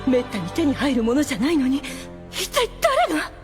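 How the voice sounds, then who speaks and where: A woman's voice: high voice, animated, a little fast, darkish tone, faint music behind. A woman playing a proud, scheming demon woman in an anime audio drama, with theatrical, formal feminine speech. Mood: suspicious.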